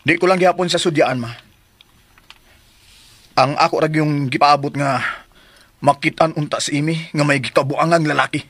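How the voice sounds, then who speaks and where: A man's voice: on the high side, steady tone, normal speed, pauses often, fairly bright tone, lightly acted. A male radio drama actor playing a serious, brooding adult son. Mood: concerned. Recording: background music under dialogue.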